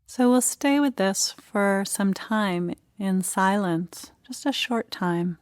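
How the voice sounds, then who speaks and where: A woman leading a guided meditation, speaking in short, gentle phrases with unhurried pauses. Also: mid-range voice, no echo, studio quality.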